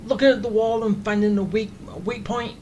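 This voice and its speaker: A man's voice: high-pitched, steady tone, fairly quick, background noise. A man giving casual, teasing, rambling commentary as they play a video game. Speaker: gamer commenting on a playthrough. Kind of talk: casual, joking gameplay commentary. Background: game music.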